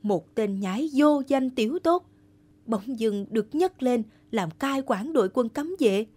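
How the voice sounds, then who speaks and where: A woman voicing a jealous, snobbish male guard officer in an animated folktale, scornful and grumbling. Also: a little high, a little slow, breathy edge. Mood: scornful, resentful.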